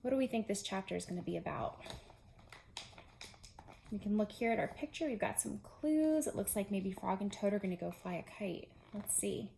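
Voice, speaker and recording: A woman with a mid-pitched voice reads a storybook aloud as a preschool teacher. She talks straight to young children and explains things simply between passages. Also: animated, moderate pace, vocal fry, mellow, slight background noise, poor audio.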